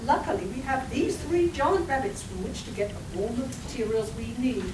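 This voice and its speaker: A brisk, high-voiced young woman inventor played by a woman, dry, decisive and a little exasperated. It is a live radio play, recorded from a distance in an echoey room. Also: lively intonation, natural voice. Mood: confident, brisk. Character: brisk, exasperated young female inventor-adventurer, confident leader. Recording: roomy, distant, noisy live stage recording.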